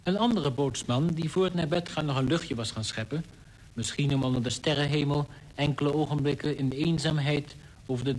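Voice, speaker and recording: An actor playing a polar expedition member, giving a literary account in a radio play. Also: male voice, fairly high pitch, natural voice. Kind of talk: scripted radio drama narration. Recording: noisy old radio play recording.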